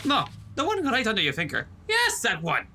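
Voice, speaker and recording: A man's voice: very high-pitched, huge pitch swings, normal speed, sharp tone, faint music behind, exaggerated voice. A man playing an earnest, slightly hesitant ship's doctor and inventor in a sci-fi audio drama. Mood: excited, proud.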